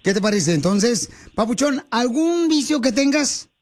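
A man's voice: very high voice, very animated, a little slow. A man hosting a playful radio matchmaking segment, teasing callers with pet names. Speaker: radio show host.

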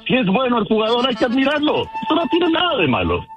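A man's voice: very high-pitched, wildly swooping pitch, fast talker, dull audio, faint music behind. A man from Peru calling in to a radio show by phone, arguing insistently. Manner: insistent, argumentative. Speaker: caller. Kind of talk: call-in phone argument.

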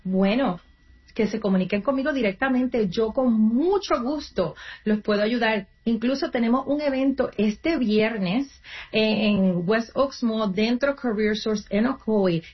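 A woman on an interview gives career advice in an earnest, explanatory way and sometimes restarts mid-word. Her voice sits a little high. The recording sounds muffled and lo-fi. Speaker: guest, nonprofit career coach.

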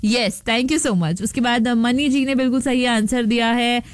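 A woman's voice: fairly high pitch, measured pace, no room sound, studio quality. A young Indian woman hosting a radio show in a casual, playful, chatty way, slipping English phrases into her talk. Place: radio studio.

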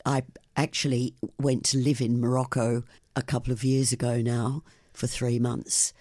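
An older woman, a guest on a radio interview, telling stories about her travels and her blog with warm enthusiasm. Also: a bass voice, normal speed, no echo. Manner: enthusiastic, animated storyteller. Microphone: studio microphone.

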